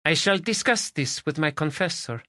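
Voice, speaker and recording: A proud Spanish noblewoman, put on by a male narrator, her clipped, slightly broken English swinging high and bright. Her voice lifts well above a man's range and leaps about with feeling. The storybook reading sits close and dry. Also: lively intonation, moderate pace, no echo. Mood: teasing. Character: proud fiery Spanish noblewoman, young adult. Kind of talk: audiobook character voice.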